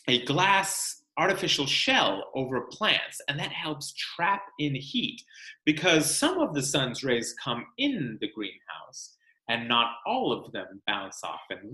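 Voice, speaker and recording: A man reading a book aloud to children like a teacher, expressive and instructional, pausing to explain. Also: medium pitch. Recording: roomy, echoing sound.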